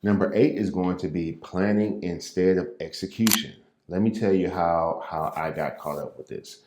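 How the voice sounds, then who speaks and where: A man from St. Louis, a real estate coach, giving an impromptu talk to camera while standing at his call desk, with a naturally low, somewhat monotone voice and a loose, conversational delivery. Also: background noise. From Midwest US, St. Louis. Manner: unhurried, loose and conversational, with repeated words and fillers. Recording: echoey room.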